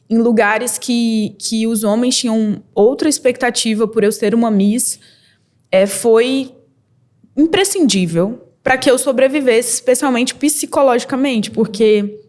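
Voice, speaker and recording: Candid, lively young Brazilian woman. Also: on the high side. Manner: lively and candid, quick bursts with laughs. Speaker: podcast guest, model and entrepreneur. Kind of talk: casual interview storytelling.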